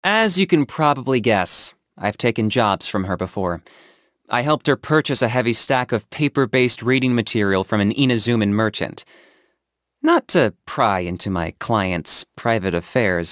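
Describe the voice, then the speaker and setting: A stoic young hunter-for-hire from a video game, played by a man, speaks in a fairly low voice. His delivery is measured and matter-of-fact, with a businesslike deadpan. The sound is very dry and close. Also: no room sound, natural voice. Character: stoic young male mercenary saurian hunter, pragmatic, businesslike. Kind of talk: scripted video game character monologue.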